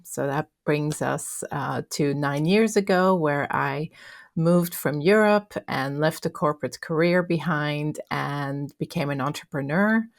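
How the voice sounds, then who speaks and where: A middle-aged woman from Belgium with a Belgian accent, answering as a podcast guest in a thoughtful way, pausing with ums as she talks. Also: low-pitched, no room sound, studio quality. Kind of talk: interview answers.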